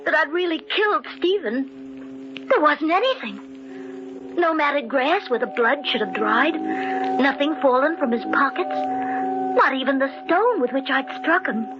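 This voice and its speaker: Frightened guilty murderess, high-pitched, breathless, melodramatic, 1940s radio play, muffled recording, loud music. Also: female voice, animated, a little slow. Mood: haunted, anxious. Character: guilt-ridden, frightened woman murderer confessing her deed. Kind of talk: 1940s radio thriller acting, first-person narration.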